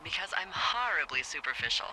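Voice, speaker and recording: A woman's voice: sits low, sing-song, brisk, sharp tone, a little hiss or hum, full character voice, rough recording. An actress playing a wry, teasing middle-aged female fire lookout supervisor in a video game, American, talking playfully and conversationally over a two-way radio. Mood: teasing, curious. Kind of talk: naturalistic video game dialogue.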